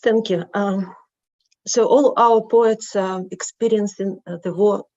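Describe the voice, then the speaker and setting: Dull-sounding recording, halting woman speaker. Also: mid-range voice, steady tone, mellow. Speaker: speaker introducing poetry reading. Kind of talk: spoken introduction. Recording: slightly dull lo-fi audio.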